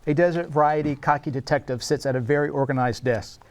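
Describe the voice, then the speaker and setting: A man's voice: on the high side, fast talker, darkish tone. A man narrating screenplay action and scene headings at a table read, in a scripted, dramatic style.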